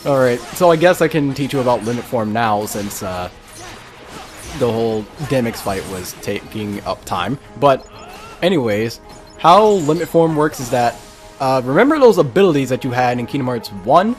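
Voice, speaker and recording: Young male let's player, mid-pitched and expressive, rambling, exasperated, chatting over gameplay. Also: normal speed. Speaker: gaming video host. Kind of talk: casual let's play commentary. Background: game music.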